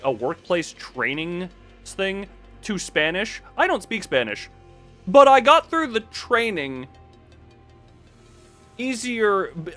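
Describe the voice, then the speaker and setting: A man livestreaming a story game, reading aloud and commenting in an excitable, sweary, giggly way. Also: sits high. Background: music.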